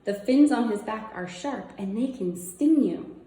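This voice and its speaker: Female read-aloud presenter for kids' nonfiction, mellow and unhurried, simple wondering asides, roomy echoing sound. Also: on the high side, animated. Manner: simple child-directed phrasing, wondering aloud. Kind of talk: reading a children's nonfiction book aloud with casual asides.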